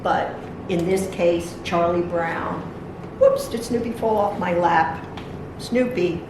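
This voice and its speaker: A woman's voice: on the low side, slow. A woman reading a picture book aloud to young children, adding simple explanatory asides as a library storytime reader. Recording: very echoey room, distant mic, noisy.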